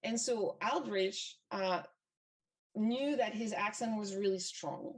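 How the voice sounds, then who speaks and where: A woman's voice: mid-range voice, slow, recorded from a distance. A woman from France with a French accent, speaking English as a second language, telling a story in a motivational talk and often repeating words and using fillers. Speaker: event speaker.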